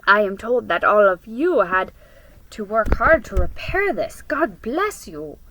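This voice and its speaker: A woman voicing a kindly, solemn old village gentleman in a storybook, gentle and measured. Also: medium pitch, big pitch swings, a little hiss or hum, rough recording. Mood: grateful.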